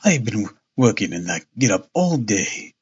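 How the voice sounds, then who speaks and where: Storybook lead: man playing assured, commanding gentleman sleuth with dry amusement, slightly muffled audio. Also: fairly low pitch, sing-song, measured pace, slightly rough, pitched below the performer's own voice, full character voice. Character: famous gentleman detective, confident, amused, commanding.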